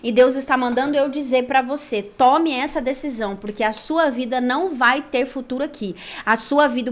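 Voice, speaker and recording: Woman, Brazilian accent, higher-pitched voice, conversational faith storytelling, animated and colloquial delivery. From São Paulo, Brazil. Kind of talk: personal storytelling with Bible reading.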